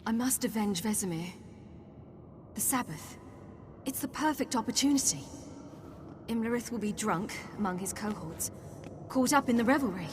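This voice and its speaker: A woman's voice: on the high side, lively intonation, moderate pace, long pauses, noisy background, lightly acted. An actress playing a headstrong, impatient young woman warrior who speaks bluntly and defiantly in a game cutscene. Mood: determined. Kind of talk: video game cutscene acting.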